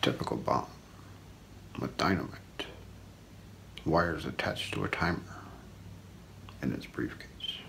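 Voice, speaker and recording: Middle-aged male ASMR narrator: unhurried, hushed true-crime read with wide swings in pitch, faint background noise. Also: lively intonation, vocal fry. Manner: hushed, deliberate, often breaking into near-whisper. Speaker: ASMR role-play narrator. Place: small room indoors.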